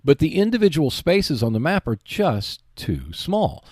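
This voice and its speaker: A man hosting a hobby show, giving explanatory, semi-scripted commentary in a measured, emphatic way. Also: a little high, huge pitch swings, darkish tone, no echo, clean studio sound. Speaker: host of a board game video show.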